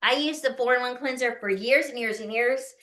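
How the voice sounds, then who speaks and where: Woman, early fifties, slightly high voice, chatty, folksy, casual product demo. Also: a little fast, a bit bright. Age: early 50s. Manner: chatty, folksy, often checking in with listeners. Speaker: skincare consultant hosting a live online demonstration.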